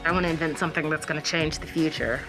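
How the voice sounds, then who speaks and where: A woman's voice: low voice, fairly even intonation, fast talker, poor audio. Young woman student over music. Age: young adult. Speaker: college student. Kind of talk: documentary interview.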